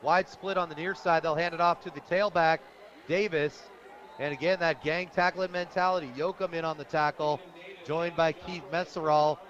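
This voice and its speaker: High-pitched American football play-by-play man. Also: steady tone, normal speed, fairly bright tone. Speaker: play-by-play radio announcer. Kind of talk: live football play-by-play commentary. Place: stadium press booth, radio broadcast. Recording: muffled broadcast audio, outdoor stadium noise.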